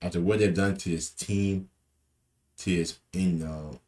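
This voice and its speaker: A man's voice: deep voice, steady tone, measured pace, darkish tone. A young man giving a casual, rambling post-match football reaction, full of fillers and repeated words, from a small room. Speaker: football fan vlogger.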